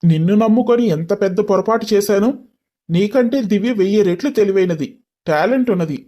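A man's voice: high-pitched, fairly quick, dark tone, natural voice. A man playing an irritated, taunting young businessman in a dramatised story, scolding and mixing in English words. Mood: taunting, accusing.

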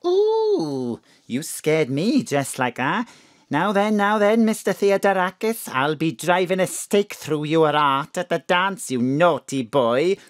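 A woman's voice: low voice, sing-song, slow, no room sound, studio quality, heavily acted. An actress with a South Welsh accent playing a flirty, scatterbrained middle-aged mother in a comic audio drama. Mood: flirty, gushing.

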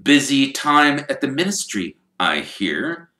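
A man's voice: medium pitch, sing-song, bright, forceful tone, pitched above the performer's own voice, heavily acted. A man reading aloud and voicing a sneering, wealthy older wizard with a cold, drawling manner. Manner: slow, drawling, cold mockery. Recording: echoey room.

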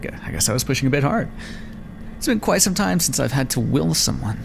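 A man acts out a clinical vampire scientist in an intimate ASMR roleplay. His voice is gravelly and swings freely in pitch as he muses aloud and teases his captive with smug condescension. A clear bed of background noise sits behind him. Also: big pitch swings, fairly quick. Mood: clinical, musing. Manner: condescending, teasing, musing aloud.

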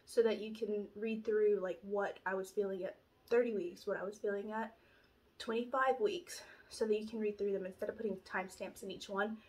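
A woman's voice: medium pitch, fairly even intonation, brisk, a little mellow, poor audio. A young woman with a stuffy nose from allergies, chatting through a casual pregnancy update at home, rambling with lots of fillers. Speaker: vlogger. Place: small room at home, indoors. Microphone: camera in front, framed at chest.

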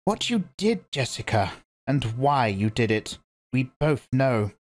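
A man reading aloud and voicing a stern, commanding old woman, a religious elder, in a sharp and lecturing manner. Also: mid-range voice, sing-song, slow, pitched above the performer's own voice.

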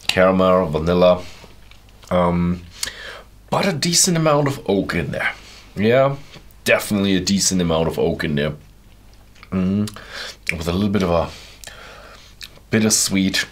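Low-voiced man rambling through a whisky review. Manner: relaxed, rambling, many fillers and restarts. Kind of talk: casual tasting review. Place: indoor studio with whisky shelves, seated in armchair.

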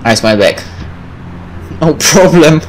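A man's voice: on the high side, expressive, unhurried, a little hiss or hum. A man reading a visual novel aloud as a gameplay host, breaking in with casual, joking, sweary asides. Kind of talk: let's play narration with casual asides. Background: game music.

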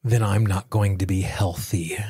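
A man hosting a self-help podcast, coaching listeners directly in measured, deliberate phrases. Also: deep voice, fairly even intonation, dark tone, husky, no echo, studio quality. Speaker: podcast host and tapping coach.

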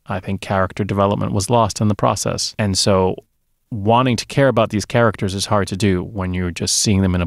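A man's voice: fairly low pitch, no room sound. A man in his thirties or forties co-hosting a movie podcast, reviewing films casually on a close microphone. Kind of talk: casual film review chat. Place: small dark home studio. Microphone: close podcast microphone on a boom arm.

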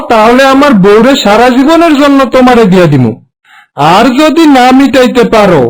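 A man's voice: very high voice, low-quality recording. A man voicing a stern, bossy, scheming village husband in a cartoon, speaking with a Bangladeshi Bengali accent. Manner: confrontational, commanding. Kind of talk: cartoon moral story voice acting.

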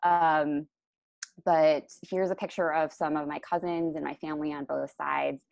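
A young woman from the Chicago area presenting over slides on a video call, relaxed and full of ums and self-corrections. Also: low-pitched, unhurried, gravelly, no echo. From Chicago area, USA. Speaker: panelist. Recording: dull lo-fi video call audio.

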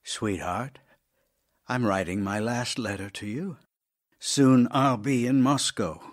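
A man's voice: medium pitch, slow. An actor playing an ailing, tender, wry writer reading his love letters aloud in an audio drama, in a theatrical, intimate manner. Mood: wry, resigned, affectionate. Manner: theatrical, wry, intimate, shifting from emphatic to hushed.

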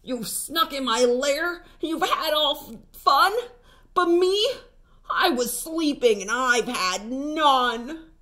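A woman's voice: high voice, lively intonation, slow, fairly bright tone, pitched above the performer's own voice, heavily acted. A woman voicing a grumpy male bear, just woken up, with a sulky, complaining manner.

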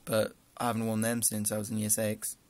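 A man's voice: on the low side, completely flat delivery, fairly quick, darkish tone. A young man with a northern English accent, chatting casually through a list of questions into a close webcam in a small room, rambling with dry asides. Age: young adult. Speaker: vlogger.

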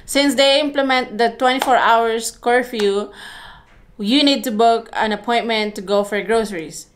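A woman's voice: on the high side, unhurried, slight background noise. A woman in her thirties chatting casually through a vlog and talking through each step aloud. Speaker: vlogger. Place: home office, then car and supermarket.

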